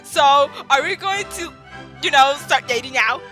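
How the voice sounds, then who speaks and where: Girl reading as a shy jittery young guy, high bright voice that jumps all over. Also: a little slow, soft background music. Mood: tender. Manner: high, excitable, emotional delivery. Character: young man, nervous, shy, emotional.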